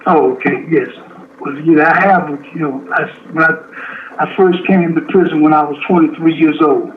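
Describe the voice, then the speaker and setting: Man in his 70s, halting restarts, slightly high voice, tinny phone line, faint hiss. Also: steady tone. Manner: slow, halting, with many restarts. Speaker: incarcerated interviewee. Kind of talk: phone interview from prison.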